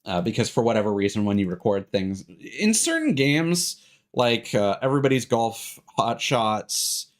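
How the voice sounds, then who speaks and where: A man's voice: mid-range voice, big pitch swings, moderate pace. A man chatting casually as he streams a game, rambling and self-deprecating, with some swearing. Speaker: live streamer. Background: cartoonish upbeat game music.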